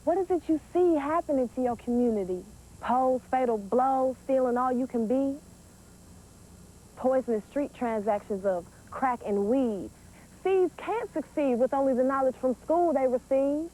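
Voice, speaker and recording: A woman's voice: high voice, unhurried, dark tone, a little hiss or hum, poor audio. An eighteen-year-old American woman, a poet introducing herself and reciting spoken-word verse. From Wisconsin, United States. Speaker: teen poet.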